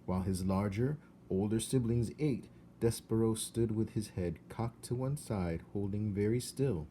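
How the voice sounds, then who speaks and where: A man reading a children's story aloud as an expressive storyteller, deliberate, with dramatic emphasis. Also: on the low side, slight background noise.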